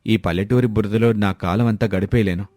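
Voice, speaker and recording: A man's voice: on the low side, steady tone, a little fast, dark tone, natural voice. A man playing an earnest, pleading, ambitious younger brother from a village family in a moral story. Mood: discontented, earnest. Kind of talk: moral story character dialogue.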